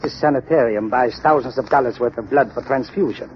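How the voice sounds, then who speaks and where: An actor playing a cold-blooded, boastful villain in a 1930s radio drama, menacing and deliberate. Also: male voice, mid-range voice, lightly acted. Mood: boastful menace. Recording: muffled, noisy 1930s radio broadcast recording.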